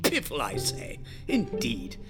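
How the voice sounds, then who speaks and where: A woman playing a fussy, wordy, excitable eccentric scientist in a comedic audio drama. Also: rumbling low, slow, music in the background, exaggerated voice. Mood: haughty, wry.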